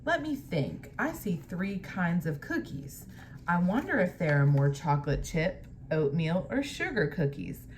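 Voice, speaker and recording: A woman's voice: on the low side, sing-song, unhurried, slight background noise. A woman voicing an eager, friendly animal character from a children's picture book, with questioning storybook dialogue. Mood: eager, curious.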